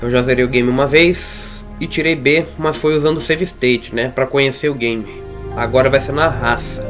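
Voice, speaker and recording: A man's voice: medium pitch, fairly even intonation, moderate pace, fairly bright tone, soft background music. A man with a Brazilian accent giving casual, slangy let's play commentary as he plays. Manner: informal, slangy. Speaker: gaming YouTuber.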